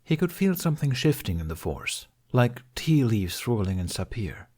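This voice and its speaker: A man's voice: mid-range voice, very animated, moderate pace, dark tone. A man reading scripted fiction aloud as an audiobook narrator.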